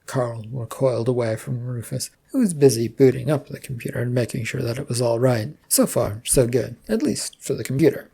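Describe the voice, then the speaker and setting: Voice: medium pitch, expressive, a little fast, gravelly, few pauses, dark tone, breathy edge. A narrator reading scripted fiction as a storyteller.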